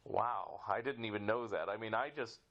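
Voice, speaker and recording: A man's voice: fairly low pitch, normal speed, highs cut off. A middle-aged man hosting a podcast interview in a casual, rambling and slightly hesitant way. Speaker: podcast host.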